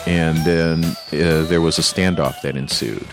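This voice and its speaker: A man's voice: very deep voice, steady tone, measured pace. A man hosting a radio music show, chatting casually with his co-host and laughing often.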